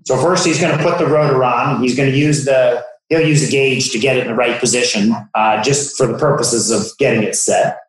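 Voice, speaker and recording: A man's voice: mid-range voice, fairly even intonation, fairly quick, few pauses. A man giving a technical demonstration as a product expert, explaining things step by step. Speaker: product expert from an encoder manufacturer. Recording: very echoey room.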